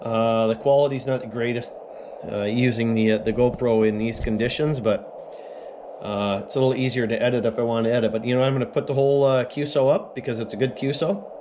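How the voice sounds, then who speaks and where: A man from Canada casually explaining a ham radio Morse contact, relaxed and full of fillers, recorded on an action camera at home. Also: medium pitch, fast talker. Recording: noisy camera audio with Morse tones.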